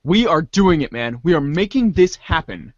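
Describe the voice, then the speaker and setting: Male narrator for a comic reader's theater, highish voice, mock-grand delivery, dry and close sound. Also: sing-song, normal speed, no room sound, muffled recording. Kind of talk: dramatic narration of a comic adventure.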